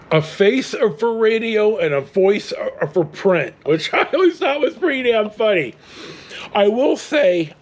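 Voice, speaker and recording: A middle-aged American man with a notably high, animated voice and a husky edge. He talks casually and bluntly, rambling through anecdotes like a storyteller among friends. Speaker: storyteller vlogger.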